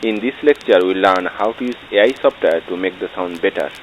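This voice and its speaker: A man's voice: deep voice, a little fast, lo-fi sound. A man reading a scripted lecture as a tutorial narrator, played as a sample with vintage vinyl effects. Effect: vintage radio filter with vinyl crackle. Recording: faint hiss and record crackle.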